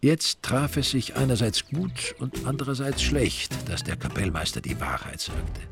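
An actor narrating a crime radio drama as a laconic, dryly ironic Austrian storyteller. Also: male voice, mid-range voice, sing-song, normal speed, vocal fry. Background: music.

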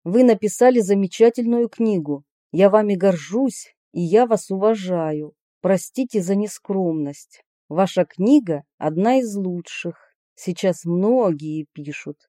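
Woman reading letters, mellow and close. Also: medium pitch, expressive, unhurried, hardly any pauses, no echo. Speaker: reader of letters aloud. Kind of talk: expressive reading of personal letters.

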